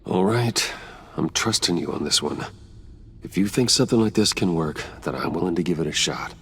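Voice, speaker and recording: An actor playing a brooding, guarded, determined vigilante hero in a video game, speaking in a serious, restrained way. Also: male voice, low-pitched, animated, moderate pace. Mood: reluctant. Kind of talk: dramatic video game voice acting. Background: background music.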